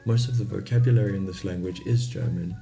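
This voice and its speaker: A man's voice: low-pitched, quick pace, creaky, dark tone, soft background music. A man in his twenties with a South African accent, giving a chatty, informal explainer to camera about languages. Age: 20s. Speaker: YouTube host. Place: small home bedroom.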